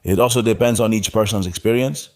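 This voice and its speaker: Man from Morocco, lowish voice, blunt, repeats himself, casual interview. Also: fast talker, darkish tone. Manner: blunt, repeats his points. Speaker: guest.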